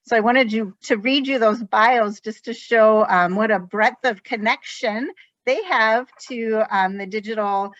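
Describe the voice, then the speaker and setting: A woman's voice: medium pitch, no room sound. A woman hosting a webinar, introducing the next speaker with many fillers. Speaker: webinar host. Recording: dry, dull video-call audio.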